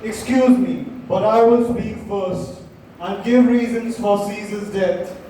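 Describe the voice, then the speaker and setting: A young man playing an earnest, reasoning Roman noble in a school play, formal and measured. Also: sits high, steady tone, mellow, slight background noise. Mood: calm, firm. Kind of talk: school stage play acting. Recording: distant mic in echoey hall.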